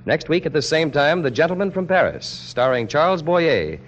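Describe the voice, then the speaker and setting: A man's voice: a little high, animated, moderate pace, a little hiss or hum. An American man announcing a radio show in a formal 1940s style. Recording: dull 1940s radio broadcast, music behind.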